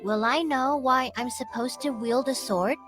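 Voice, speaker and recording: A woman's voice: sits high, measured pace. A woman voicing an earnest, curious little boy prince in a video game, with music behind. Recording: music under the voice.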